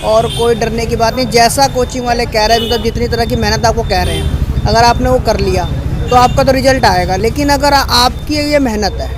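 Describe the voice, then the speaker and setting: High-voiced talkative man from Delhi. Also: a little fast. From Delhi, India. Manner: emphatic, talkative, mixes English phrases into Hindi. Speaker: civil services aspirant. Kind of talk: street interview. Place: busy city street outdoors. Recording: busy crowd noise outdoors.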